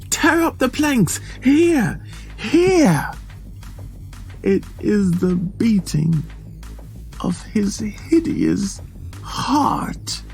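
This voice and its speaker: A performer playing a guilty, unhinged murderer in a gothic horror monologue, theatrical and deliberate, insisting on composure. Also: medium pitch, heavily acted. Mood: shrieking confession. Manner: theatrical, deliberate, swinging from hushed to shrieking. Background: eerie sound effects and faint music.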